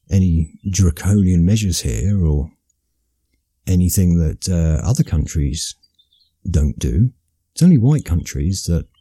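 A man's voice: sits low, mellow, breathy edge, dry recording. A man giving an impassioned solo commentary, talking emphatically and often restarting his sentences.

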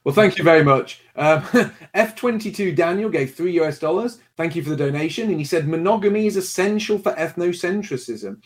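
A man hosting a livestream, briskly reading out donor messages and questions. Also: on the high side. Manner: brisk, reads messages aloud with small laughs. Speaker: livestream host reading donations. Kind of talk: casual livestream chat.

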